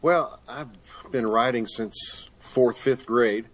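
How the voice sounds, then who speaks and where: A man's voice: mid-range voice, slow, poor audio. An older man answering questions as a guest author in a conversational interview. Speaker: guest author, retired pastor and teacher.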